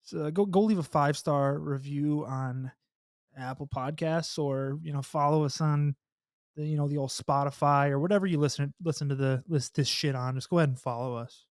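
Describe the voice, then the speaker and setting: A young man hosting a casual podcast, slightly hoarse, rambling and laughing as he talks, swearing now and then, on a studio mic in a small home studio. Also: a little high, steady tone, normal speed, no echo. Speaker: podcast host. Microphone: studio mic with headphones, on camera.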